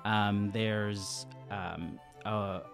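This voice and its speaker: A man improvising his character's story as a player in a tabletop game, hesitant and halting as he thinks aloud. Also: on the low side, steady tone, faint music behind. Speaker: tabletop role-playing player.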